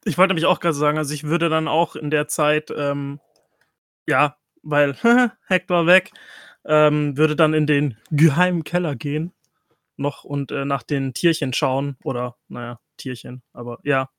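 Bright, higher-pitched man playing tabletop. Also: steady tone, a little slow, no echo, studio quality. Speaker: tabletop role-playing player. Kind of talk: casual in-game chat.

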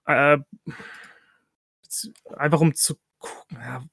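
A man with a somewhat high voice plays a tabletop role-playing game, recorded very dry. He talks casually, working out his moves aloud with hesitations and repeated words. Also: steady tone, slow pace, vocal fry, bright, forceful tone, dry recording. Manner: hesitant, repeating and revising himself.

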